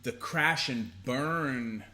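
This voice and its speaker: A man's voice: mid-range voice, extremely slow, bright, forceful tone. A man co-hosting a casual advice chat, playful and jokey, thinking aloud as he talks. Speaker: co-host.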